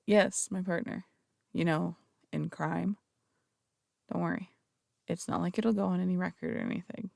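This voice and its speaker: A very creaky, dry, close female voice acted by a woman plays a flirtatious phantom thief in an audio roleplay. She speaks in theatrical, polished phrases, teasing and reassuring her listener, with small hesitant stammers. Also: fairly low pitch, measured pace, pauses often, fairly bright tone, dry recording, exaggerated voice.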